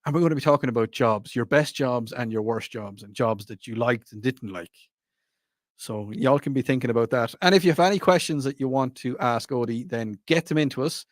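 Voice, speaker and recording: Playful radio host. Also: male voice, medium pitch, a little fast, dry recording, clean studio sound. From Ireland. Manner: playful, teasing, rambling. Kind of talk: casual radio chat. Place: radio studio. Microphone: studio microphone.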